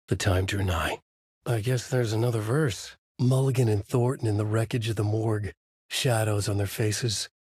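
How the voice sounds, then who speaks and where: A husky, low-voiced male writer narrates his own dark thriller like a video game noir. The delivery is grave, moody and deliberate. The sound is close and dry. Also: dry recording. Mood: grim.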